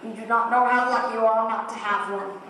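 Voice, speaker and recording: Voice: medium pitch, fairly even intonation, bright, forceful tone. A performer playing a kindly, bumbling humbug wizard with mock-grand speechifying in a school stage play. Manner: speechifying, mock-grand, halting when flustered. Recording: distant echoey hall, faint noise.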